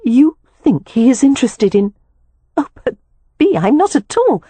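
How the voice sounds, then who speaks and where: A woman's voice: high-pitched, big pitch swings, measured pace, warm tone, breathy edge, no echo, voice pushed up, heavily acted. A woman voicing a young woman who is the amused, lightly teasing older sister. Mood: flustered.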